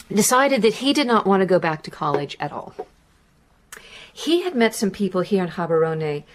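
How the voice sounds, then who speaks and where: A woman's voice: medium pitch, close to an everyday voice. An actress playing a middle-aged American mother, reflective and sorrowful, recounting the loss of her son in a radio drama.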